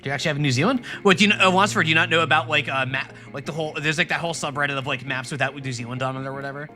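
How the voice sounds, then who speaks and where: Man, rambling let's-play talk, fairly high bright voice, game music underneath. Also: racing. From Rhode Island, New England. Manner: rambling, joking, talks to chat and the screen. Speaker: streamer. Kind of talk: casual let's-play commentary.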